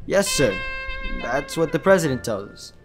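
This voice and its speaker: Man playing an earnest, higher-pitched young student. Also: very animated, moderate pace, music in the background. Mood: respectful, hesitant. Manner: earnest, respectful, oratorical when addressing a crowd. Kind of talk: dramatized first-person novel reading.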